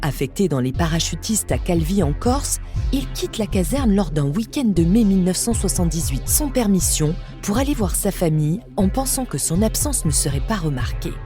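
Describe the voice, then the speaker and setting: A woman's voice: fairly low pitch, sing-song, normal speed, mellow. A woman reading a scripted true-crime story as a podcast narrator. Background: music.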